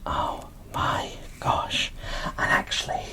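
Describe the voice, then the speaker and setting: Female ASMR presenter, fully whispered and breathy, enthusiastic and gushing in a show-and-tell delivery. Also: slow. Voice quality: soft, breathy unvoiced whisper. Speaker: host of an ASMR doll unboxing channel.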